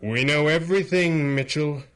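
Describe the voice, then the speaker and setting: An actor playing an implacable masked hero with mind powers in a radio superhero drama, speaking gravely with deliberate menace. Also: male voice, on the high side, lightly acted, rough recording. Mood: grave, accusing. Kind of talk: 1930s-set radio superhero drama acting.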